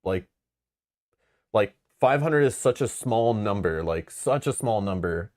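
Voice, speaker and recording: Man with a very low voice, casual stream host, rambly, frank, lots of fillers. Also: animated, measured pace, darkish tone. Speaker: board game channel host. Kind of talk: casual live-stream chat.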